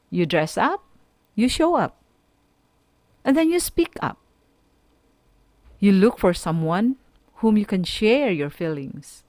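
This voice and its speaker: A woman with a Filipino accent giving an instructional radio talk with tips and examples, deliberate and emphatic, repeating key phrases. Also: medium pitch, a little mellow, no echo. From Philippines. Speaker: speaker giving a mental health lesson on a school radio programme.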